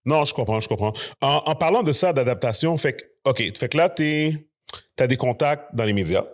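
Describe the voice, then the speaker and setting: A man's voice: mid-range voice, expressive, moderate pace. A man, a podcast host talking loosely and chattily, dropping in English slang. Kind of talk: casual conversation.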